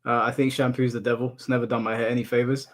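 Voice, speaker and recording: A man's voice: medium pitch, racing. Laid-back man chatting to a stream. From United Kingdom. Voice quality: monotone voice. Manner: relaxed, laughs off insults, addresses chat as bro. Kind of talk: casual livestream chat reading chat. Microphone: headphones in, desk mic.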